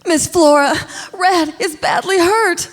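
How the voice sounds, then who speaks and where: Woman playing a high-voiced anxious young moll. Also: slow, full character voice. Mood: distressed. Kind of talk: radio play performed on stage. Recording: echoey stage hall recording.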